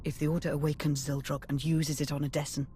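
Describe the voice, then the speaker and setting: A woman playing a brisk, analytical military adviser in a dramatic sci-fi video game. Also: deep voice, level tone, warm tone, a little hiss or hum, natural voice. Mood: urgent, grave. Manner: urgent, serious.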